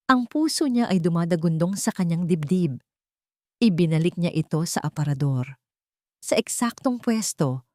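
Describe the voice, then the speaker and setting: A woman tells a dramatic scripted story with suspense and emotion. Her voice sits a little low and moves widely in pitch. The recording is dry and close. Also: dark tone, no room sound. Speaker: story narrator.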